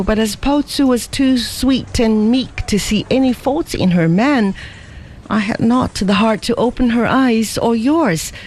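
A fiery young woman from an old storybook scolds a man hotly and without letup, as a male narrator voices her. The voice is raised well above his own and leans hard on each reproach. Slow and deliberate, she presses every word. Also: very high-pitched, a little mellow, pitched above the performer's own voice, heavily acted. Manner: scolding, emphatic. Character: indignant young American woman, outspoken and persistent.

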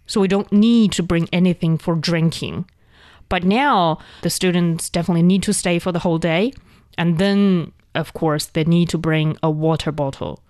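A woman's voice: fairly low pitch, normal speed, a little mellow, no echo, clean studio sound. A woman from China whose first language is Chinese, hosting a language lesson as casual chat, explaining words patiently and laughing easily. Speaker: host and language teacher.

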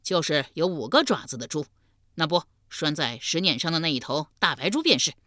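Brief: woman reading a helpful storybook villager, patient and explanatory, lively tone. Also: deep voice, fairly quick, no room sound, clean studio sound, heavily acted. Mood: explaining.

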